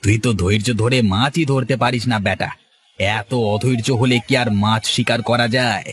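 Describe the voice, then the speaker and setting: A man's voice: medium pitch, fairly even intonation, normal speed, fairly bright tone, natural voice. A man playing a smug, teasing fisherman. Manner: chiding, familiar. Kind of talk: Bengali cartoon story voice acting.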